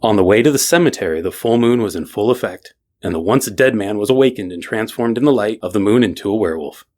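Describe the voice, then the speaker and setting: Male narrator, lowish voice, scripted bedtime storytelling for children. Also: lively intonation, fast talker, a little mellow.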